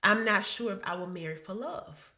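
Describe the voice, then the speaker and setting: A woman's voice: mid-range voice, normal speed, crowd noise. A woman in her thirties co-hosting a podcast, chatting casually in a blunt, profane way that often breaks into laughs. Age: 30s. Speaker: podcast co-host. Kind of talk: casual chat.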